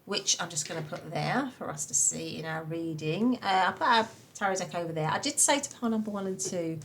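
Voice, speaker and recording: A woman with a croaky, slightly nasal voice from a cold, chatting warmly and reassuringly through a pick-a-card tarot reading. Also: lowish voice, expressive, fairly quick. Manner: chatty, reassuring, many fillers. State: croaky voice from a cold, blocked nose. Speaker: tarot reader.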